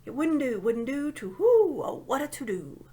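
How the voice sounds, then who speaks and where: A woman's voice: high-pitched, sing-song, normal speed, slightly rough, voice pushed up, exaggerated voice. Woman doing a fussy, hooting talking owl. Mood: secretive, fretful. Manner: hooting repetition, hushed asides.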